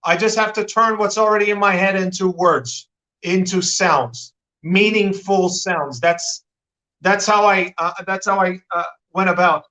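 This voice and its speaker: A man's voice: sits high, steady tone, unhurried, sharp tone, poor audio. A man with an American accent, a guest in a live-stream interview, talking at length with many restarts and repeated words. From Turkey.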